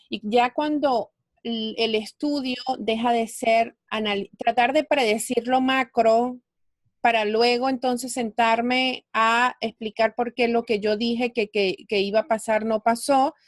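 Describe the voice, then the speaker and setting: A woman's voice: on the high side, no echo, studio quality. Woman, reflective explainer. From Venezuela. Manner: explanatory, reflective, thinks aloud. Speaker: guest, finance speaker. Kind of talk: conversational interview.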